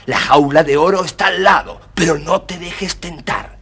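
A man voicing a clever, bossy fox who gives sly, emphatic instructions in a husky voice, in a children's story. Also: on the high side, moderate pace, a bit bright, full character voice. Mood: encouraging, warning. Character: clever, bossy, helpful fox guiding a prince. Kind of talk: recorded children's storybook dramatization.

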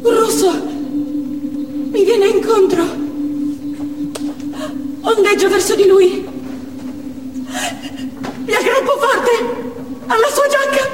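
Very high-voiced desperate young woman. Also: distant mic. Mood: tearful exaltation. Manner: slow, declamatory, short deliberate phrases. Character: young Chechen woman hostage-taker, desperate, resolved martyr. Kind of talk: theatrical stage monologue. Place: theatre stage, picked up from a distance. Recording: echoey theatre hall from afar, loud noise.

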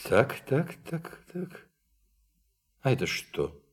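Brusque professor, animated pitch, mid-range voice, doubting, inquisitive, peremptory, radio drama. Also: male voice, slow pace, husky, full character voice. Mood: incredulous. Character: sceptical, brusque, inquisitive male professor investigating a mystery. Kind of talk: Russian radio play acting.

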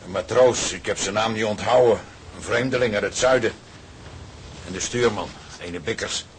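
An actor playing a gruff, practical older father from a seafaring family in a radio drama, with a gravelly voice. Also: on the low side, moderate pace, slight background noise, close to an everyday voice. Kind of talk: Dutch radio drama acting.

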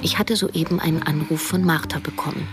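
A woman's voice: deep voice, fairly even intonation, brisk. An actress in her early thirties playing a broke, self-doubting young woman, narrating a radio drama in a reflective, literary way. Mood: reflective, wry. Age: early 30s. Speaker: narrator and lead character. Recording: music behind the voice.

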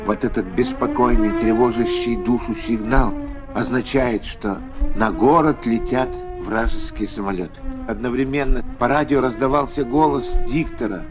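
A man's voice: mid-range voice, lively intonation, moderate pace. A man delivers solemn, dramatic scripted documentary narration for children, with emphatic rhetorical phrasing.

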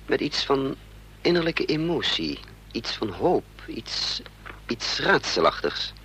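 A man's voice: mid-range voice, big pitch swings, slow, bright, forceful tone, husky, heavily acted. An actor playing an anxious, scheming adventurer in a radio play, muttering and hesitating as he thinks aloud. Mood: reflective narration. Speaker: protagonist and narrator of a radio play. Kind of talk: Dutch radio play acting. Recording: noisy old radio play recording, vehicle drone.

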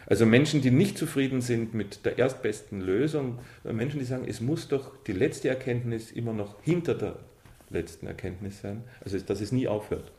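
A man's voice: medium pitch, moderate pace, a little mellow. A man giving a reflective interview answer about literature, correcting himself as he searches for words. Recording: roomy, echoing sound.

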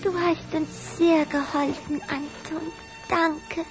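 A woman's voice: high voice, level tone, slow pace, over music. A woman playing an earnest, tender little vampire girl in a children's radio play. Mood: wistful, tender.

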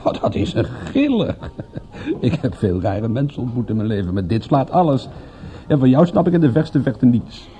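An actor playing a witty, easygoing private detective, talking in a teasing, conversational way in a radio play. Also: male voice, medium pitch, sing-song, moderate pace, dark tone, slight background noise, lightly acted. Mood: incredulous, amused. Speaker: detective in dialogue. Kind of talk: radio play dialogue, naturalistic.

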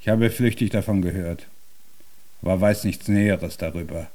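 An actor playing a stubborn, self-important composer in a German radio drama, speaking formally and with pedantic emphasis. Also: male voice, low voice, normal speed, mellow. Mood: dismissive.

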